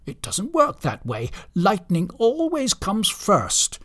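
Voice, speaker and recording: Storybook reindeer played by a man: proud, pedantic, argumentative, raised pitch, husky edge. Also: huge pitch swings, slow pace, a bit bright, exaggerated voice. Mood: indignant. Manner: indignant, pedantic.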